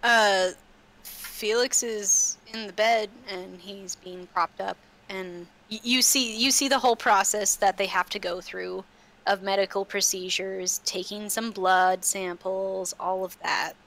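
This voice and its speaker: A woman running a tabletop roleplaying game as game master, speaking haltingly and often repeating words. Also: mid-range voice, fairly even intonation, fairly bright tone, poor audio.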